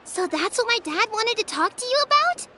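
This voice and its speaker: A high-voiced sulky child. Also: female voice, very animated, normal speed, noisy background. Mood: matter-of-fact. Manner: childlike, earnest. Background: game music.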